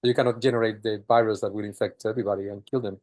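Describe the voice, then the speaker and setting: A man questioning a lecturer in class, halting and searching for words as he works through an idea. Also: fairly low pitch, steady tone, a little mellow, no room sound. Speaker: student.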